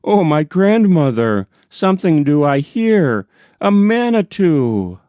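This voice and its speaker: A man's voice: fairly high pitch, big pitch swings, slow, dark tone, smooth, no room sound, pitched above the performer's own voice. A man voicing a fearful grandson hero of a folk tale, exclaiming urgently. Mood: frightened urgency.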